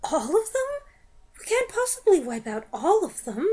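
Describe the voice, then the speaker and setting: Lady doing a scared witch, high pitched, begging for her life. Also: sing-song, normal speed, dark tone. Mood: worried. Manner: begging, whimpering. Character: terrified pleading female witch underling.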